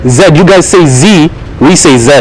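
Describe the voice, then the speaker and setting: A young man from Kenya who grew up in Kenya, talking to a high school class in a joking way, laughing often. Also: normal speed, rough recording. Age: young adult. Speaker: student speaker at a school. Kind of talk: classroom talk.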